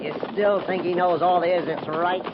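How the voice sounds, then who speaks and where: A man's voice: high voice, steady tone, moderate pace, natural voice. Casting: male actor as bitter, taunting frontier trooper, folksy talk, dull and heavily noisy vintage radio recording. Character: needling, bitter, bigoted cavalry trooper in the Old West. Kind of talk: 1950s radio western acting.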